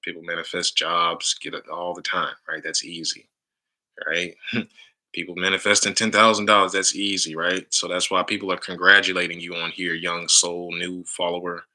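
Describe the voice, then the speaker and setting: Deep-voiced man leading a live mantra stream. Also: little pitch movement, normal speed, sharp tone, low-quality recording. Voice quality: deep baritone. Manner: loose, repeats "right?" often. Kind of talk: casual live stream talk with chanting.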